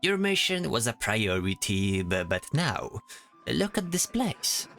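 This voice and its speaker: A man playing a chatty, fussy, worrying bard with an expressive way of talking in a fantasy audio drama. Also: mid-range voice, slow, gravelly, soft background music, heavily acted. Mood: thoughtful, gentle. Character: chatty, complaining, worrying bard disguised in knight's armor.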